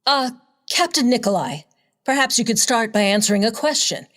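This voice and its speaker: A woman acts a spirited dame in a noir radio play. Her voice is fairly high. She comes across as feisty and direct. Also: lively intonation, moderate pace, a bit bright. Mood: questioning. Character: spirited, questioning female companion of a reporter. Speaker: actor in an audio drama. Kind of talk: community theatre radio play acting.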